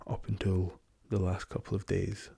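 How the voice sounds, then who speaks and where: A young man with a hoarse, raspy voice from a lingering cough, talking softly and hesitantly as an ASMR host, slipping into whispers. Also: deep voice, level tone. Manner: soft-spoken, slow and hesitant with many ums, drifting into whispers. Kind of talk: soft-spoken ASMR travel chat.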